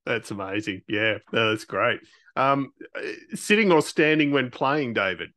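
A man's voice: mid-range voice, lively intonation, a little slow, fairly bright tone, no room sound, clean studio sound. A man co-hosting a podcast, putting questions to a guest in casual interview chat.

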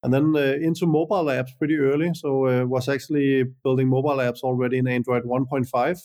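A man from Denmark, speaking English as a non-native speaker, calmly answers interview questions as a guest entrepreneur with frequent "uh" and "like" hesitations. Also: medium pitch. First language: Danish. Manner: measured, many "uh" and "like" hesitations.

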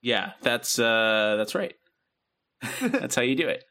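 A man's voice: mid-range voice, sing-song, slow pace, pushed and bright. A young man co-hosting a podcast, chatting casually and rambling with lots of fillers and restarts. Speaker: podcast co-host. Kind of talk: casual chat.

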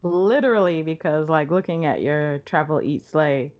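Lower-pitched woman hosting a podcast. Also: moderate pace, dull audio. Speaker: podcast host, interviewer. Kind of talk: conversational interviewing.

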